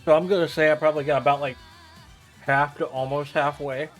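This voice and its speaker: A man's voice: a little high, flat delivery. Rambling male game streamer over music. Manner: rambling, halting explanations. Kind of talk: casual visual novel playthrough commentary.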